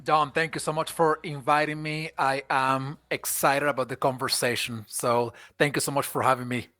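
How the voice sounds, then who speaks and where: A middle-aged man from Venezuela with a Venezuelan Spanish accent, speaking as an interview guest in a quick, eager way, often restarting and using fillers. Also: medium pitch, little pitch movement, sharp tone, dry recording, studio quality, soft background music. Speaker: guest, HR expert. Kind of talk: conversational interview.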